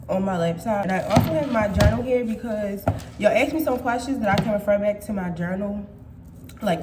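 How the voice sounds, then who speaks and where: A woman's voice: mid-range voice, echoey room, background noise. A woman in her twenties chatting casually and playfully to her viewers as a vlogger, swearing freely. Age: 20s. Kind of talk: casual chat.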